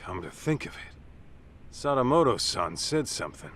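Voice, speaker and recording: A man's voice: medium pitch, very animated, moderate pace, fairly bright tone, slight background noise. An actor playing a blunt, street-smart male detective who questions people casually and skeptically in a video game drama. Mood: wry, probing. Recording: music behind the voice.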